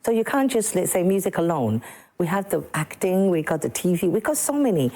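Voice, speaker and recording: A woman's voice: lowish voice, sing-song, a little fast, mellow. A woman from Sekondi-Takoradi in Ghana, a musician guest on a morning TV studio show, who talks emphatically and repeats words for stress. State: a bit slow this morning.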